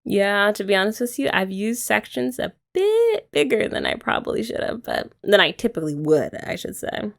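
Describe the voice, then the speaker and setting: A young woman doing a soft, teasing, affectionate ASMR girlfriend roleplay, often laughing, close to the mic. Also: mid-range voice, sing-song, moderate pace, creaky, hardly any pauses.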